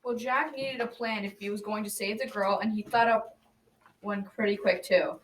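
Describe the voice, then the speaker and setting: A woman's voice: medium pitch, steady tone, normal speed, natural voice. A school-age girl playing a folksy narrator, reading a scripted folktale in an amateur radio drama. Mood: folksy, storytelling. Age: child about 12 to 13. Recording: distant mic, faint noise.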